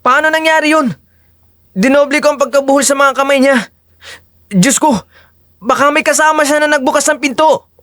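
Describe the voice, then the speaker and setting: A man's voice: extremely high pitch, normal speed, pauses often, bright, forceful tone, no echo, studio quality. A man playing a loyal, anxious male friend in an audio drama, speaking in an agitated, earnest way. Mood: alarmed, loyal. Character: Carlito, loyal anxious young male friend working at church.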